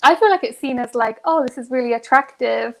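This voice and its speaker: A woman's voice: high voice, animated, brisk, gravelly. A young woman in a casual, candid group chat, speaking frankly in a giggly, rambling way as a co-host. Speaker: podcast co-host.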